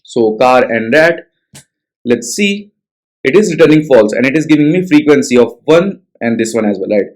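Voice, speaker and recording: A man's voice: mid-range voice, expressive, normal speed. A young man with an Indian English accent walking through a coding tutorial, talking casually and checking in with frequent tag questions. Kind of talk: screen-share coding tutorial.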